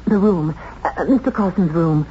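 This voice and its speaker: An actress playing a shrewd, determined woman in a 1970s radio drama, with intense, deliberate delivery. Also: lowish voice, natural voice. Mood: agitated, urgent. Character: determined, shrewd woman accused of murder, confronting the killer. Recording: muffled, noisy old radio drama tape.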